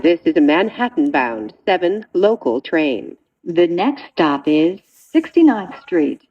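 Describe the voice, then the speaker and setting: A woman reading scripted train announcements in a measured, segmented way. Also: lowish voice, very animated, husky, highs cut off.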